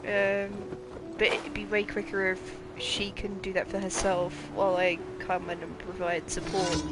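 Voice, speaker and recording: A woman's voice: mid-range voice, little pitch movement, measured pace, poor audio. A woman streamer giving chatty, rambling commentary while playing a game, swearing now and then. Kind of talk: casual gameplay commentary. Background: game music.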